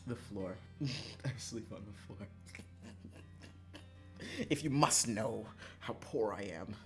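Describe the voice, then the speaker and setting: A game streamer chatting casually and excitably, swearing freely and swinging between calm asides and mock-angry outbursts. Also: medium pitch, slow pace. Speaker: livestreamer. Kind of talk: casual chat while playing a visual novel. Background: background music.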